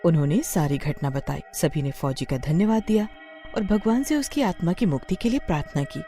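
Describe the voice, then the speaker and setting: Woman storyteller, lowish voice, lively intonation, scripted horror narration, faint music. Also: fairly quick.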